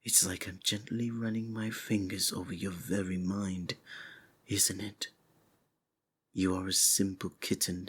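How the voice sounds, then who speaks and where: A man playing a sinister, seductive cult preacher in an intimate ASMR roleplay, husky and breathy, often sinking into whispers, with archaic, formal endearments. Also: sits low, sharp tone, exaggerated voice. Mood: hypnotic, possessive.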